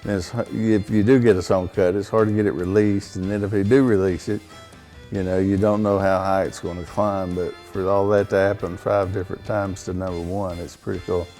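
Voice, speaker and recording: Low-voiced man, plainspoken. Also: level tone, a little fast, mellow, soft background music. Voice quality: baritone voice. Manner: plainspoken, rambling, frequent fillers and restarts. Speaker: songwriter guest. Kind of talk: casual conversational interview.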